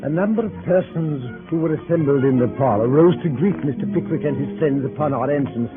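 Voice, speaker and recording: An actor playing a composed, literary gentleman narrator, recounting his travels in scripted 1930s radio style. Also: on the high side, a little fast, natural voice. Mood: composed, descriptive. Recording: muffled 1938 radio, music behind.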